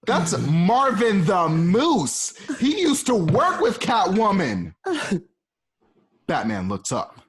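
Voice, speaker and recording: A man's voice: high voice, huge pitch swings, slow pace, husky. A man narrating an amateur radio drama in a casual, playful way, with chatty asides and laughter.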